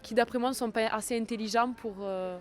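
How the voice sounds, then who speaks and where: A woman's voice: on the high side, steady tone, a little fast. A young woman from Ariège with a southern French accent, answering interview questions hesitantly with many fillers and restarts. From Ariège, France.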